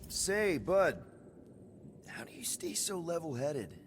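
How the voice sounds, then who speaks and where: A man's voice: on the high side, sing-song, slow pace, slight background noise. A man playing an easygoing but exasperated young space-adventurer leader in a video game, casual and wry. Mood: friendly, curious.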